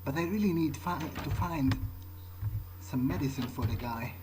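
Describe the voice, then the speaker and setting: A man's voice: on the high side, normal speed, background noise, lightly acted. A man who is not a native English speaker, voicing a vampire game character who thinks aloud to himself in a hesitant, muttering way. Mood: hesitant, curious. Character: vampire character musing to himself while sneaking around. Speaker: gamer playing in character.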